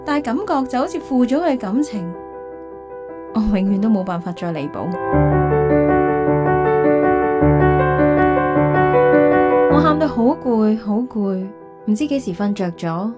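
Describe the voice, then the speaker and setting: A woman's voice: fairly high pitch, very animated, moderate pace. An actress playing a reflective, wistful single career woman in a radio drama, with a Hong Kong Cantonese accent. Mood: sorrowful, reflective. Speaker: lead actress and narrator. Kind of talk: radio drama narration and dialogue. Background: background music.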